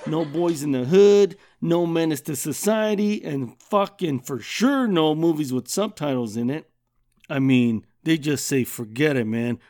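A middle-aged man hosts his podcast and tells a childhood story in a loud, swearing, lively voice. His voice sits a bit high for a man. He laughs often and keeps the telling casual. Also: a little slow. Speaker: podcast host.

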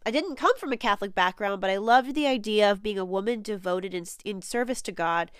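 A woman hosts her own spiritual podcast, her voice nasal from a head cold. She talks in an earnest, musing way, pausing on fillers and restarting thoughts. The sound is smooth and dry, with a mid-range pitch. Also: no echo. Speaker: podcast host and spiritual coach. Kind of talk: solo podcast monologue.

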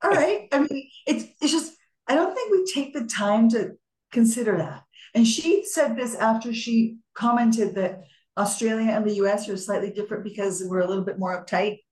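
Podcast co-host: woman, higher-pitched voice, animated conversational storytelling, roomy echoey sound. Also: moderate pace. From Colombian American, United States. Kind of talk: casual podcast chat. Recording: echoey room.

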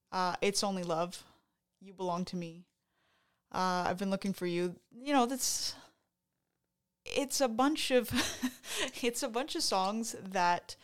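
A woman from Canada co-hosting a podcast, talking excitedly in casual chat and often interrupting herself. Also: fairly high pitch, clear, resonant tone. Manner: excited, chatty, self-interrupting. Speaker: podcast co-host.

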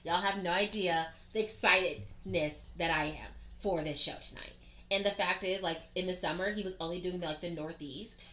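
A young woman podcast co-host chatting casually, gushing and excitable, often laughing. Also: fairly low pitch, moderate pace. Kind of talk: casual chat. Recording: roomy, echoey sound.

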